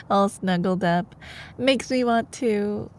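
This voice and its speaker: A young woman playing a sweet, teasing girlfriend in an intimate ASMR roleplay, soft and playful, sometimes dropping toward a breathy whisper. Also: mid-range voice, very slow, a little hiss or hum. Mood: playful, affectionate. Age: young adult. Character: sweet, teasing young girlfriend, a sleepy-hours college student. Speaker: ASMR voice performer.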